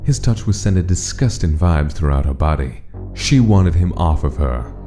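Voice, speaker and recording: Very dark, deep-voiced male narrator. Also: expressive, moderate pace. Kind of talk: dramatic scripted story narration. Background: music.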